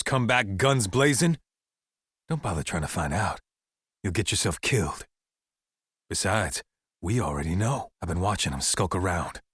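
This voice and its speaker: An actor playing a paranoid, defiant American survivalist camp leader in a video game, delivering conspiracy monologues in an emphatic, folksy, ominous way with weighty pauses. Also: male voice, low voice, a bit bright, slightly rough, no echo, studio quality, heavily acted. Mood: suspicious, scornful.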